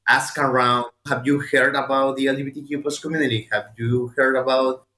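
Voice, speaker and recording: A man's voice: mid-range voice, flat delivery, a little fast, bright, forceful tone. A man with a Mexican accent, a native Spanish speaker, giving personal testimony in an earnest, direct way with emphatic repetition. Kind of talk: personal testimony and encouragement, addressed to listeners. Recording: roomy, echoing sound.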